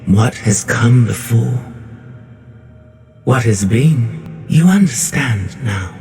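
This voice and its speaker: A man voicing a calm, solemn, all-seeing guide in a video game, speaking in a measured, cryptic way. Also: medium pitch, gravelly. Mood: grave, reassuring. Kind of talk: scripted video game dialogue. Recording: echoey voice over music.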